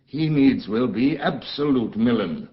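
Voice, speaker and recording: A man's voice: medium pitch, animated, measured pace, highs cut off, natural voice. An actor playing an embittered, commanding exiled duke and father in a theatrical, declamatory Shakespearean style. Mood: bitter, indignant. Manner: theatrical, grave, declamatory, with dramatic swells and hushes. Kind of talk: classical Shakespearean stage acting.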